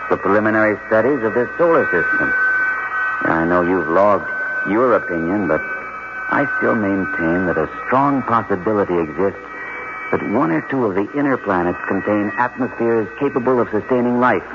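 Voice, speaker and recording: Eager astronaut, muffled noisy recording. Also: male voice, on the low side, expressive, moderate pace. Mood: confident, speculative. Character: eager, homesick astronaut scientist, family man. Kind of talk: old-time radio drama acting. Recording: muffled, very noisy vintage radio broadcast.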